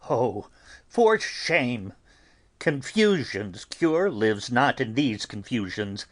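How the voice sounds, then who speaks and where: A man playing a stern, pious friar in a dramatic Shakespeare audiobook, sermonizing deliberately with long pauses. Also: medium pitch. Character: stern, pious friar admonishing and consoling mourners.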